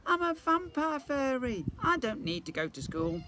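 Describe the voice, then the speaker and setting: A man voicing a reluctant, chatty young half-vampire fairy girl in a storybook, excitable and protesting. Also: extremely high pitch, moderate pace, slight background noise, pitched above the performer's own voice, full character voice. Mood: protesting, pleading.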